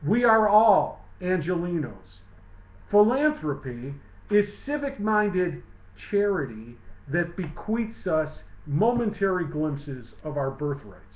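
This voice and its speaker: A man reading his own literary essay aloud in a measured, declamatory way. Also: fairly high pitch. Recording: muffled lo-fi, roomy echo.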